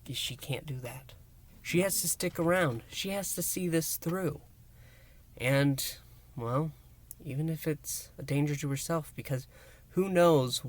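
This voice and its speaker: A man hosting a review and recap, speaking in an emphatic, theatrical way with dramatic pauses. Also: on the high side. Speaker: host, reviewer. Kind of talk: enthusiastic scripted-style recap and review to listeners.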